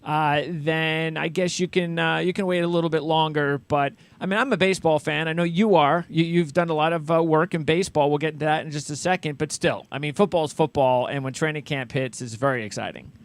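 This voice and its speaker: An American man with a slightly high voice hosts a sports interview show. He talks in an enthusiastic, conversational way, winding through long questions before he lands on the point. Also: fairly even intonation, a little fast, hardly any pauses, smooth, dry recording. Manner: wordy, rambling questions.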